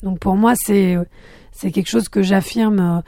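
A woman filmmaker born in France, whose first language was Arabic, answering interview questions thoughtfully, pausing and restarting as she searches for words. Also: mid-range voice, fairly even intonation, dark tone, no room sound, studio quality.

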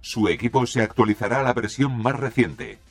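A man's voice: mid-range voice, steady tone, moderate pace. A man announcing a scripted radio programme ident. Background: loud guitar music.

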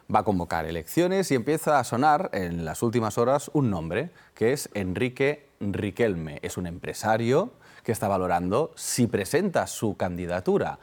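A man presenting a live news program, linking reports and chatting with guests and correspondents. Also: sits low. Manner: conversational, with filler tags. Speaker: news anchor.

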